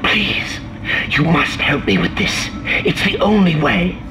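An actor playing an anxious, obsessive older male scientist in a video game, pleading urgently and haltingly. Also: a little high, very animated. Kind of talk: dramatic video game acting. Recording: radio transmission under loud music.

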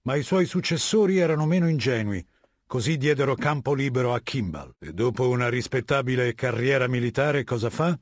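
A man playing a husky, imperious warlord. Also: mid-range voice, expressive, no echo, studio quality, natural voice. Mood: haughty lecturing. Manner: imperious, measured, lecturing, disdainful. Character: middle-aged male warlord dictator, cold, haughty and commanding. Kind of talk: video game character dialogue.

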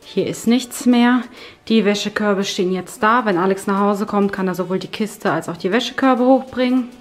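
A woman's voice: mid-range voice, moderate pace, soft background music. Chatty woman showing her tidied home. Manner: chatty, explaining as she walks around. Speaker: home vlogger. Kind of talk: casual on-camera home tour. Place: bright modern home, indoors.

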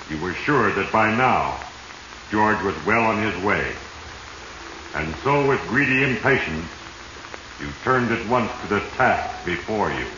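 An actor playing Fate, a sinister, solemn narrator who speaks deliberately and ominously in a 1940s radio drama. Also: male voice, fairly low pitch, sharp tone, lo-fi sound. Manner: heavy-handed, ominous, deliberate. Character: Fate, sinister, solemn, all-knowing male narrator addressing the doomed. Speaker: narrator and series host. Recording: echoey, noisy 1940s radio recording.

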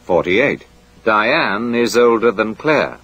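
A man reading a scripted language course drill in a clear, measured way. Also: fairly low pitch, expressive, fairly bright tone, lo-fi sound.

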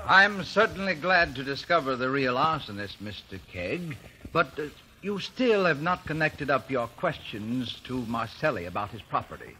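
A man playing a composed, formal trial judge with measured delivery in a 1950s radio drama. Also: medium pitch, animated, pushed and bright, some chatter behind. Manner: measured, official. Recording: faintly noisy old radio recording.

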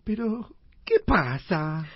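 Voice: medium pitch, very animated, takes it slowly, breathy edge, no room sound, lo-fi sound, heavily acted. An actor playing a cocky, stubborn tenement man in a comic radio play, slangy and emphatic, with an Argentine accent. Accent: Argentine, Buenos Aires slang.

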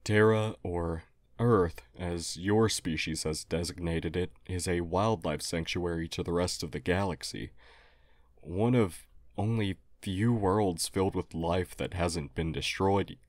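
A man playing a polite, earnest, apologetic humanoid alien in an audio roleplay, speaking formally and haltingly. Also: low-pitched, no echo, clean studio sound. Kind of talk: audio roleplay acting addressed to listener.

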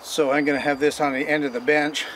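A man's voice: a little high, level tone, fast, a bit bright. An older man hosting a homesteading channel, casually explaining chainsaw repair in a folksy, rambling, plain-spoken way while working in his backyard. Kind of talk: casual how-to demonstration. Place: outdoor backyard workspace. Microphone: camera mic from a distance. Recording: distant outdoor mic with noise.

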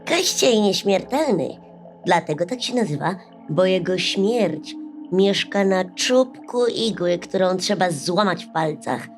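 A shaggy old witch of a bedtime fairy tale, voiced by a woman, speaks gravely as one who knows dark secrets. Music plays clearly beneath the voice. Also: lowish voice, lively intonation, a little slow. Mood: grave, foreboding. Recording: music under the voice.

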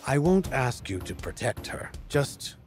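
Somber royal father, unhurried, expressive pitch, faint music, video game. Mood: somber, tender. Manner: solemn, deliberate. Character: somber, dignified middle-aged king and father. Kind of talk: video game cutscene acting.

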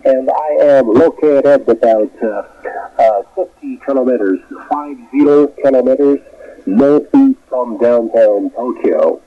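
A man with a Japanese accent making a polite, halting amateur radio contact, heard over shortwave. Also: medium pitch. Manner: halting, polite, formal courtesies. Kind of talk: radio contact chat, phonetic spelling. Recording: dull, noisy shortwave radio reception.

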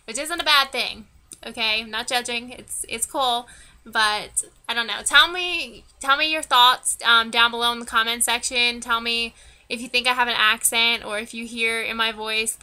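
A woman's voice: fairly high pitch, bright, forceful tone, smooth. A woman in her twenties from Pennsylvania with a Northern American accent, chatting informally and a little self-consciously as a vlogger. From Pennsylvania, United States. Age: 20s. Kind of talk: casual chat to camera, answering tag questions. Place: small wood-panelled room indoors.

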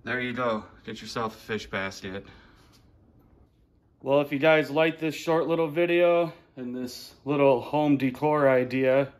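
A man's voice: medium pitch, unhurried. Guy in his 30s, laid back and chatty, phone cam in his living room. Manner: relaxed, chatty, plainspoken. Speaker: fishing channel host. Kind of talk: casual show-and-tell vlog. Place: living room at home, indoors. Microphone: handheld phone camera.

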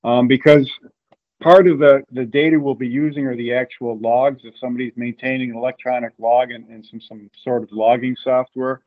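A man's voice: mid-range voice, steady tone, fairly quick. A middle-aged American man explaining things casually as an interview guest, speaking from a room at home over a video call. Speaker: interview guest, amateur radio event coordinator. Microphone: video call with earbuds. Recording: narrow, phone-like video call audio.

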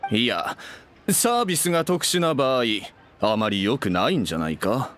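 A man's voice: medium pitch, big pitch swings, normal speed, fairly bright tone. A man playing a busy, coolly polite young man in an anime game, offhand and dismissive. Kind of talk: Japanese anime game voice acting. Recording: faint background noise and ambience.

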